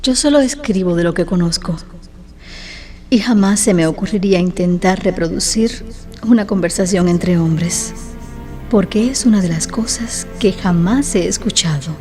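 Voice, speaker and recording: A woman's voice: fairly low pitch, normal speed, darkish tone, soft background music, natural voice. An actress playing a thoughtful, candid Georgian woman novelist in a radio drama. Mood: frank, assured.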